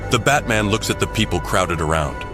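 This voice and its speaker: A man's voice: sits low, lively intonation, moderate pace. A man delivering dramatic scripted narration as the narrator of an audio drama. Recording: loud music under the voice. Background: loud dramatic score.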